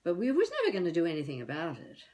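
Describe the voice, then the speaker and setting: A woman playing a feisty elderly would-be novelist, rambling wryly and haltingly through a comic monologue as a video diary. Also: sits low, huge pitch swings, darkish tone. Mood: reminiscing. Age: older. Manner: wry, musing, halting, talking to herself.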